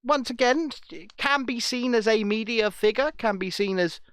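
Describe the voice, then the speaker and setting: Earnest man with halting restarts. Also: extremely high pitch, fairly bright tone, clean tone, dry recording. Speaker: podcast co-host. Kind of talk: casual chat.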